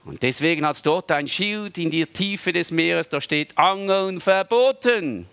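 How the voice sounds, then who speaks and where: A man preaching a sermon in a conversational way, often checking for the listeners' agreement and dropping into soft asides. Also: on the high side, a little slow, gravelly, smooth. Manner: conversational, seeks agreement with frequent rhetorical tags, dips to soft asides.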